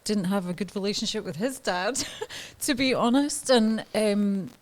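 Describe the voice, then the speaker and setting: A woman's voice: medium pitch, measured pace. A woman in her thirties, a podcast guest talking candidly and hesitantly, with frequent ums and laughs. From Scotland. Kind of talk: podcast interview.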